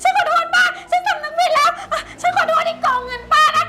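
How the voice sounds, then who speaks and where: A woman's voice: very high-pitched, quick pace. A female performer playing a scared young woman swindler, whiny and defensive, in a skit. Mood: panicky. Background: music.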